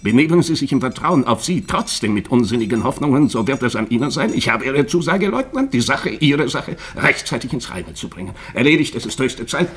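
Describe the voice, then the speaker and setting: An actor playing a stern, conscientious doctor in a German radio drama, speaking formally with urgent, admonishing emphasis. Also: male voice, mid-range voice, very animated, brisk, few pauses, a bit bright.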